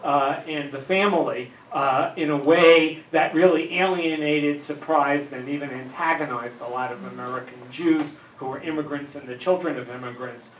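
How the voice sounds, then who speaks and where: Older male lecturer, higher voice, halting delivery with many fillers, heard in an echoey hall from a distant camera. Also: a little hiss or hum. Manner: many filler uhs, dry asides. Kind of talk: academic lecture. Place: dim lecture room with projector. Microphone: handheld mic. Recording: echoey room, camera far off.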